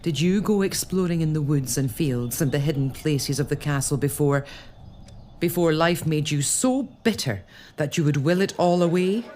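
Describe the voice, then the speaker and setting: A woman's voice: sits low, normal speed, darkish tone, a little hiss or hum, lightly acted. An actress playing a curious, determined lady of a castle in a period audio drama, probing and earnest. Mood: tense.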